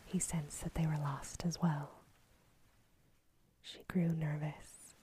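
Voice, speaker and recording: Slow whispering woman reading a story. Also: deep voice, level tone, a little hiss or hum. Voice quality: breathy, unvoiced whisper. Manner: soft, slow, gentle delivery. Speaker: bedtime story narrator.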